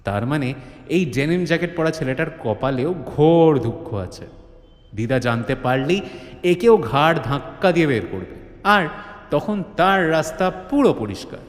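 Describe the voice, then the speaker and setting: A man's voice: fairly high pitch, lively intonation, unhurried. A man narrating a comic mystery audio drama as a dramatic scripted storyteller. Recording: roomy, long studio reverb.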